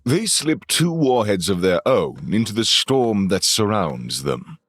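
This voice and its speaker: A man performing measured, solemn dramatic narration of a space battle for a sci-fi audio drama. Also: lowish voice, sing-song, no room sound, full character voice. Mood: grave, measured.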